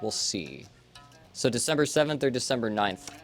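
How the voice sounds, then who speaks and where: A man's voice: mid-range voice, moderate pace, faint music behind. A man in his late twenties, a streamer, chatting casually and rambling with lots of fillers. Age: 20s. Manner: relaxed, rambling, many fillers. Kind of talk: casual chat on a livestream.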